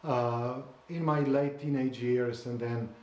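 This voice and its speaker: A man whose first language is Slovene talks about writing his memoir, pausing often and searching for precise words. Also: medium pitch, steady tone, darkish tone, reverberant, muffled recording. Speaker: memoir author. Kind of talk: conversational interview.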